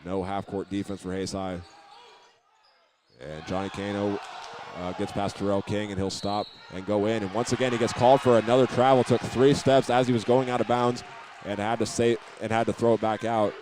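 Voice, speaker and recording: Low-voiced man calling basketball, fairly even tone. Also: normal speed, crowd noise. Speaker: play-by-play basketball announcer. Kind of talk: live radio play-by-play sports commentary. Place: indoor high school basketball game. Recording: live gym recording with background noise.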